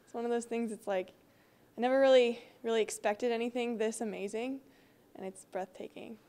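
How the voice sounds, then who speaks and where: A college softball player in her twenties, gushing emotionally into a handheld mic. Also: on the high side, steady tone, brisk. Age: 20s.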